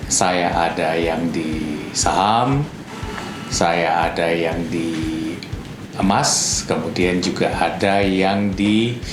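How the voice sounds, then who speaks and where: A man's voice: low voice, very slow. A man in his fifties giving casual, conversational answers in an interview. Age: 50s. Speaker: interviewee, father of two. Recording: echoey room with clear background noise.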